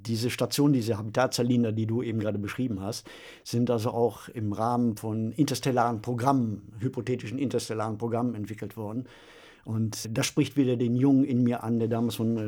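A man's voice: mid-range voice, warm tone. A man co-hosting a podcast in a conversational style, putting ideas to his partner. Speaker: podcast co-host.

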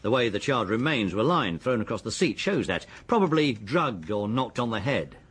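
An actor with an English accent playing a methodical, deferential police detective in a radio drama, his voice husky. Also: mid-range voice, sing-song, fairly bright tone, heavily acted. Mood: matter-of-fact. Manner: deliberate, factual, deferential. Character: methodical, deferential male police detective, confident and matter-of-fact. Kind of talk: British radio drama acting.

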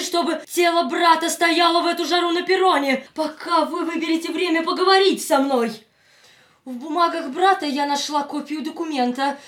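A haughty, grasping sister. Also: female voice, very high voice, a bit bright. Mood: cold. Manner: haughty, cold, contemptuous. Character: cold greedy sister of the dead man, a haughty middle-aged woman. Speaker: actress in a radio play. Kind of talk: radio drama acting.

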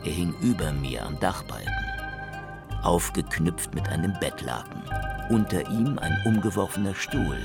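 An actor playing a wry, flippant hard-boiled detective in a noir radio drama. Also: male voice, low voice, lively intonation, normal speed, creaky. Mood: weary narration. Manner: sardonic, flippant. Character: wry hard-boiled male detective telling his own story. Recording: loud music under the voice.

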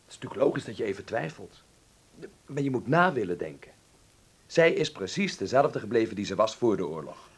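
Earnest young son in a war radio drama, husky voice, really animated, argues his point. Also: on the low side, a little fast, exaggerated voice. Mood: reasoning, critical. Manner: earnest, argumentative, persuasive. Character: reasoning, earnest son in a wartime family. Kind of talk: radio play acting.

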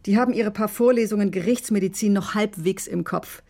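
An actress playing a brusque, sardonic emergency doctor who recounts a case in clipped, matter-of-fact radio drama narration. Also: female voice, mid-range voice, fairly quick, dark tone, close to an everyday voice. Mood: wry, indignant. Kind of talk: German radio drama, dry first-person narration.

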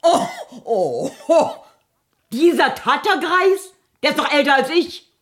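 A worldly-wise older maidservant in a radio play, heard with a clear room echo. She speaks slowly and bluntly, with sardonic, dramatic mockery. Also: sits high, very animated, full character voice. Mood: appalled, mocking. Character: older female servant, blunt, mocking, worldly-wise confidante. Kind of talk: German radio play acting.

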